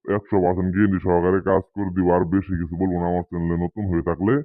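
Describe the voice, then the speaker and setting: A man hosting as a self-styled guru, delivering a scripted promotional pitch to viewers in an emphatic, repetitive way. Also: flat delivery, very fast, dry recording, narrow, tinny audio. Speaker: channel host, self-styled tantric guru.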